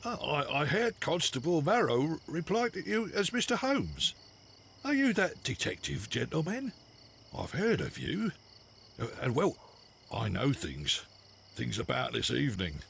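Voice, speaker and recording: An actor playing a hesitant, furtive Victorian witness, speaking in a halting, conspiratorial way in a video game drama. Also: male voice, a little high, sing-song, vocal fry, fairly bright tone, slight background noise, full character voice. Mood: nervous, eager.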